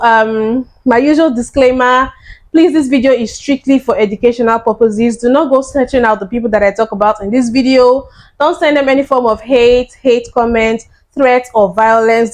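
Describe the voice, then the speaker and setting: Woman host, casual reaction commentary, friendly, chatty, slightly high voice. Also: a little mellow. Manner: friendly and chatty, with filler words. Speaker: YouTube channel host.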